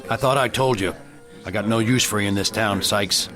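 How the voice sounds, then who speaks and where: An actor playing a stern, plain-spoken western sheriff in a radio drama, blunt and authoritative, with an American accent. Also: male voice, lowish voice, a little fast, a bit bright, soft background music, close to an everyday voice. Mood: scornful, stern.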